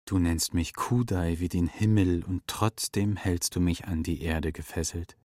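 Proud eagle, low and very dry. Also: male voice, steady tone, unhurried, darkish tone, no room sound. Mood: wounded, reproachful. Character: proud, defiant male eagle that talks in a dream. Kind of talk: German children's radio play acting.

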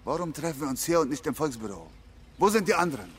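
Misstrauischer Agent, lebhafte Sprachmelodie. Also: male voice, fairly high pitch, very animated, fast, slight background noise. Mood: suspicious. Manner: tense, questioning. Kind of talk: German radio crime drama acting.

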